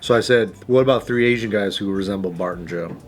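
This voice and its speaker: A man's voice: fairly low pitch, fast, soft background music. A man giving casual running commentary to a group in short, informal remarks. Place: group talk show studio.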